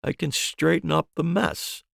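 A man's voice: a little high, measured pace, gravelly, fairly bright tone, no room sound, studio quality, pitched above the performer's own voice, exaggerated voice. A man voicing a simple, earnest, wonderstruck male spectator in an audiobook story, speaking in short repeated phrases. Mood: earnest wonder. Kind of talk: audiobook dialogue.

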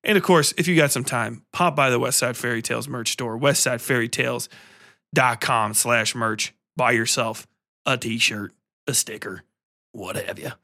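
Bright, energetic male podcast host. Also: mid-range voice, moderate pace, gravelly. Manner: informal, energetic, sweary. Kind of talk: casual podcast chat and sign-off.

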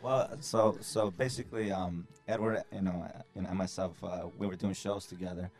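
A low-pitched man with a light Mexican accent talks loosely and at length. His answers wander, with lots of fillers and easy laughs. Faint music plays behind him. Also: brisk. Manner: relaxed, rambling, laughs often. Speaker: rapper, podcast guest. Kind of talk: casual group chat.

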